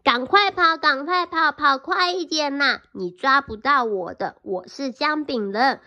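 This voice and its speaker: A woman's voice: a little high, animated, a little slow, pushed and bright, full character voice. A woman voicing a boastful little gingerbread man in a children's storybook, chanting taunts in a sing-song way. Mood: taunting.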